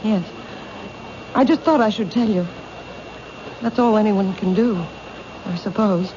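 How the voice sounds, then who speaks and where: A woman acting in a radio mystery as a calm but fearful bookshop owner, speaking hesitantly and with composure. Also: medium pitch, unhurried, lightly acted. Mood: calm, uneasy. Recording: muffled, noisy old radio recording.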